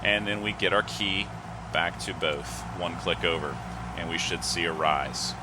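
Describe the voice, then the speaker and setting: A man's voice: low voice, steady tone, a little slow, heavy background noise. A man giving methodical, instructional step-by-step flight simulator commentary, reading off checklist items. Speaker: host of a flight simulator video series.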